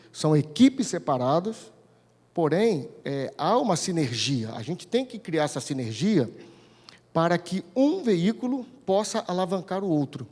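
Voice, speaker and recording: A man's voice: fairly high pitch, darkish tone. A Brazilian man giving an informal conference talk, explaining didactically and often posing questions that he answers himself. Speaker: speaker at a conference, regional TV news editor-in-chief. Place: large indoor conference hall. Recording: echoey hall with long reverb.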